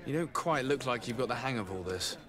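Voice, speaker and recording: A man playing a composed, courteous noble diplomat in a video game, speaking in a measured, formal way. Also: mid-range voice, animated, a crowd in the background, close to an everyday voice. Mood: polite, probing. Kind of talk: video game cutscene acting.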